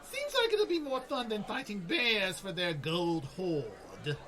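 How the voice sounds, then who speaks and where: A woman's voice: medium pitch, big pitch swings, takes it slowly, fairly bright tone, a faint crowd. A woman playing a scatterbrained, anxious gnome sorcerer in a comedy radio play, flighty and hesitant. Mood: wavering, musing.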